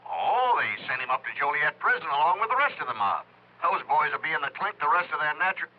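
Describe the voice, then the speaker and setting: An American actor playing a chatty, slangy newspaper reporter in a 1950s radio drama. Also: male voice, on the high side, big pitch swings, quick pace, a little hiss or hum. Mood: excited. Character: animated, gossipy male newspaper reporter, old pal. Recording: muffled telephone-like old radio sound.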